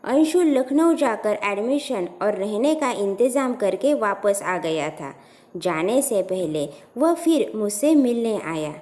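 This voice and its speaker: Mellow, unhurried woman storyteller. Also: medium pitch, lively intonation. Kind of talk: scripted first-person story narration.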